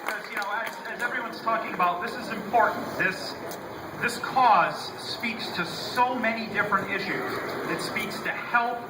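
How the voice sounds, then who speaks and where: An American man with a high, loud voice addresses an outdoor rally as an elected representative. His words come through echoey and from a distance, under very heavy noise. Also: moderate pace, a crowd in the background. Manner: loud-voiced, conversational with hesitations at first. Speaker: state representative giving a speech. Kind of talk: public speech at an outdoor rally, from prepared remarks. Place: outdoors at a rainy-day rally. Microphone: public-address microphone, recorded from a distance.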